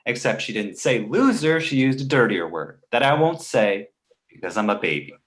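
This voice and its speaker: A man voicing a precocious, manipulative baby boy with a smart-alecky comic delivery in an animated comedy read. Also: medium pitch, moderate pace. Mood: smug, needling. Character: precocious, wisecracking, manipulative two-month-old baby boy.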